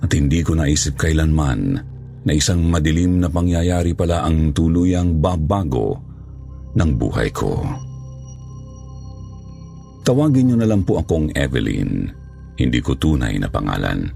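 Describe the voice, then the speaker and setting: A man with a gravelly, husky voice reading a scripted first-person horror story as its narrator. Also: a bass voice, sing-song, unhurried, pauses often, warm tone, soft background music.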